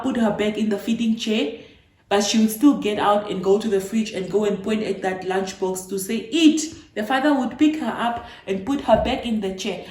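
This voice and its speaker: Chatty young woman in an echoey room. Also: medium pitch. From Namibia. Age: 20s. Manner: chatty, animated retelling with playful asides. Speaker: vlogger. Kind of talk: casual storytelling to camera.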